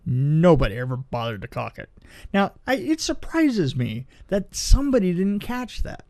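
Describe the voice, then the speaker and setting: Male podcast co-host, voice on the higher side, casual and animated raconteur, repeats himself for emphasis. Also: moderate pace. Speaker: podcast co-host and film production designer. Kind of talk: casual movie review chat and anecdotes.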